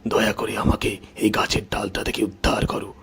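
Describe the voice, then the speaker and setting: A man voicing a sly, hungry, wicked tiger in a children's cartoon story, with a slow, menacing delivery. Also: on the low side, completely flat delivery, gravelly, a bit bright, breathy edge, exaggerated voice. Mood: pleading. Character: sly, hungry, wicked male tiger who pleads then threatens.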